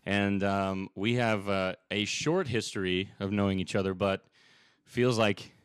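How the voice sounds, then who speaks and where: A man's voice: on the low side, fairly even intonation, a little slow, clean tone, no room sound, clean studio sound. A young man hosting a podcast interview, chatting casually and rambling with lots of fillers and restarts. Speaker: podcast host.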